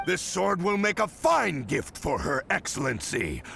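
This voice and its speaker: A cold, smug enemy soldier with a heavily gravelly voice that swings widely in pitch. He threatens with open contempt. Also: huge pitch swings, unhurried, fairly bright tone, slight background noise, exaggerated voice. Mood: menacing. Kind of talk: video game voice acting.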